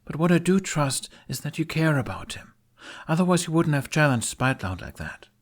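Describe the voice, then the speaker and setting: A man's voice: a little high, very animated, fairly quick, pitched above the performer's own voice. A man voicing an earnest, stubborn young female knight with an emphatic, imploring delivery in an audio story. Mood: determined, pleading.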